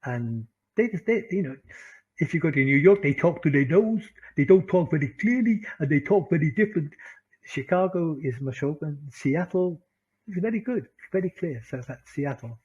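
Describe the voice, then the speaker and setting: A Welsh man with a fairly high, husky voice leads an online English lesson. He is animated, laughs often and drives points home by repeating them. The audio is dull and muffled, like a video call. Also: normal speed. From Wales. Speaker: English teacher. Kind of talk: online English class talk.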